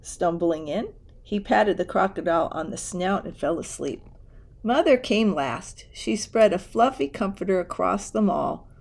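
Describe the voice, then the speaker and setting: A woman reads a children's story aloud. Her voice sits at a middle pitch. She tells it expressively and slips in casual asides of her own. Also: lively intonation, measured pace, gravelly, darkish tone. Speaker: storybook reader.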